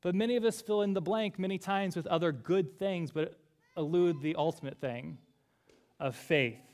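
A man's voice: high-pitched, normal speed, darkish tone. A male pastor preaching a sermon to a congregation in a church room, earnest and building through repeated phrases.

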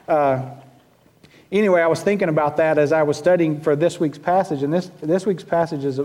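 Southern pastor with a folksy delivery. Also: male voice, on the high side, a little mellow. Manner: folksy, self-deprecating, many restarts. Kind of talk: sermon.

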